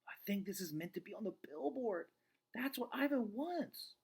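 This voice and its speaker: A man's voice: high-pitched, lively intonation, normal speed, vocal fry, slightly rough, pitched above the performer's own voice, exaggerated voice. A man voicing an eager, stubborn, pleading young girl for a storybook read aloud. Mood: excited.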